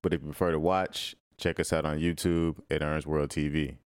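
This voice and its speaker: Deep, quiet podcast host man. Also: level tone, no echo, clean studio sound. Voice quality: quiet, low voice. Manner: measured, wraps up the show. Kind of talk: casual chat.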